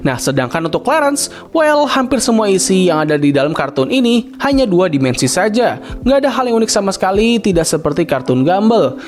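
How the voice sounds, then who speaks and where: A man narrates a scripted cartoon review in a loose, slangy way, talking right to his audience. His voice sits high and swings up and down with a lot of life. Soft music plays faintly underneath. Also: big pitch swings, brisk. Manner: casual slangy commentary addressing viewers. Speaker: cartoon review channel host.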